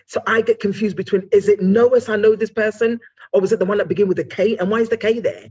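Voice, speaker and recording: A woman's voice: mid-range voice, steady tone, fast, darkish tone, breathy edge, dull audio. A woman hosting an interview, chatting casually and rambling with asides. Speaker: podcast host.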